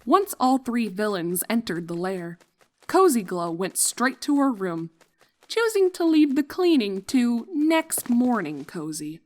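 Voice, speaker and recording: A woman's voice: a little high, big pitch swings, measured pace. A woman reading a fan fiction story as a scripted narration.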